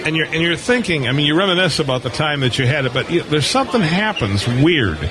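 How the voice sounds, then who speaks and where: A man's voice: on the high side, expressive, quick pace. A man hosting a live radio show, chatting casually in a rambling, folksy, conversational way. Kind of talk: casual live interview chat about amateur radio. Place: busy hamfest exhibit hall, live booth. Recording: live from a crowded convention floor. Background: crowd noise.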